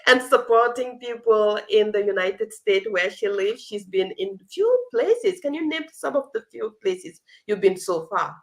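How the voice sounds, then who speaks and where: A woman's voice: medium pitch, lively intonation, unhurried, hardly any pauses, rough recording. A woman hosting an unscripted live interview, effusive and given to repeating phrases for emphasis. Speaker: host of a live leadership talk show.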